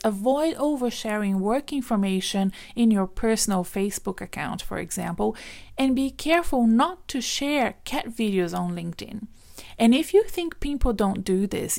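A woman career coach giving direct, practical advice in a casual monologue, with a voice she calls squeaky. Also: creaky, a little mellow. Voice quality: says she has a squeaky voice.

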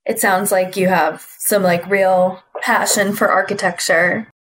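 A woman's voice: mid-range voice, fairly even intonation, unhurried, fairly bright tone, poor audio. A young woman hosts a podcast, reading a scripted intro and then asking friendly, upbeat interview questions. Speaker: podcast host.

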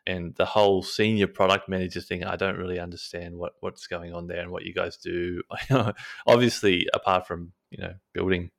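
Deep, gravelly male interviewer. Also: steady tone, moderate pace. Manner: hesitant, stumbling over words. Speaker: student interviewer. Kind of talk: casual interview questions.

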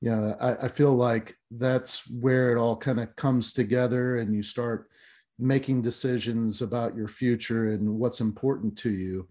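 A man's voice: medium pitch, fairly even intonation, measured pace, mellow. A man hosting a podcast interview, asking questions hesitantly with many fillers. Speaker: podcast host.

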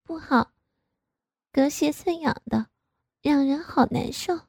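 A coy, pouting young married woman wheedles in a high, lifted voice. A woman plays her in a slow storybook reading, with a dry, close sound. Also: creaky, dark tone, no room sound. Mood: wheedling.

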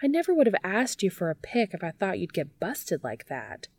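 A woman's voice: medium pitch, big pitch swings, normal speed, a little mellow, breathy edge, pitched above the performer's own voice. A woman voicing a chirpy, teasing young woman, a geeky best friend, with bouncy emphasis in an audiobook story. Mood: sympathetic.

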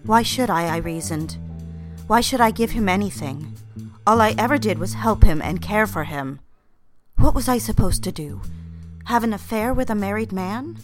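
A woman's voice: medium pitch, close to an everyday voice. An actress playing a proper, wounded young secretary, speaking earnestly and primly in an audio drama and confiding her story. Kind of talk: dramatic scripted narration and dialogue.

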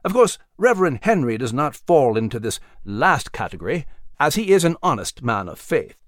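Man voicing an animated, proper animal scholar. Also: mid-range voice, normal speed, dry recording, studio quality, natural voice. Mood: earnest, explaining. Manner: precise, lecturing, proper. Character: Nigel, erudite animal scholar, male, explaining history. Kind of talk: audiobook storybook character voice.

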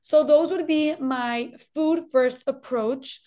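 A woman with a faint Colombian Spanish accent speaks in a high voice. She is a dietitian guest on an interview, explaining clearly and repeating points for emphasis. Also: slow pace, dark tone. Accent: slight Colombian Spanish.

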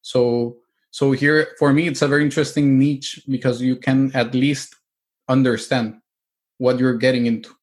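Man from el salvador, flat even voice, lots of um and restarts. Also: medium pitch, normal speed. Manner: hesitant, many restarts and fillers, explanatory. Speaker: real estate agent and investor. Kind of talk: interview answers.

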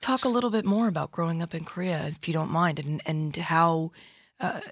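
A woman's voice: low voice, fairly even intonation, moderate pace, breathy edge, no echo. A woman hosting a radio interview in a studio, asking curious, eager follow-up questions in a conversational way. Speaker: radio host.

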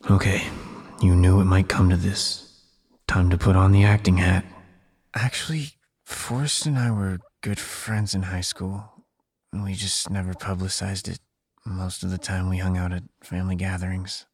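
A low-voiced, guarded American man. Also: little pitch movement, moderate pace, darkish tone, slightly rough, dry recording, clean studio sound. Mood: wry, tense. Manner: hesitant, guarded. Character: guarded, secretive man searching for a lost school friend. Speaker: narrator and lead character. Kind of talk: naturalistic audio drama acting with inner asides.

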